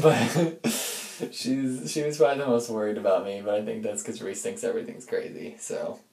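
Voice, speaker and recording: A man's voice: lowish voice, vocal fry, darkish tone. A man speaking in a casual, rambling personal audio diary, reflective and informal, often feeling his way through a thought. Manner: informal, reflective, loses and regains his thread. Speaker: personal audio log diarist. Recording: echoey room.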